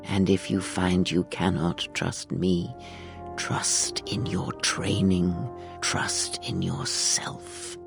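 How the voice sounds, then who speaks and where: An older woman playing a weary, cryptic and manipulative mentor in a video game, speaking in slow, solemn measured phrases. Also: very deep voice, vocal fry, exaggerated voice. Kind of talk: video game dramatic acting.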